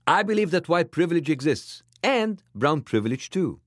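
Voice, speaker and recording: A man's voice: moderate pace, dry recording, studio quality. A man with a foreign accent hosting a podcast, giving a wry scripted monologue and then asking a question. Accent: foreign-accented English. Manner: animated, wry, pitch jumping up for emphasis. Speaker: podcast host.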